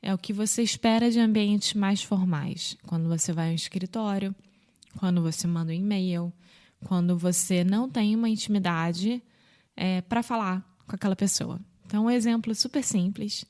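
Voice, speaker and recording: A woman with a Rio de Janeiro accent, co-hosting a language podcast and patiently explaining everyday greetings, acting out examples with bright jumps in pitch. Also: normal speed. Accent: Rio de Janeiro Portuguese. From Brazil. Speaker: podcast co-host teaching Portuguese. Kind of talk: casual teaching chat.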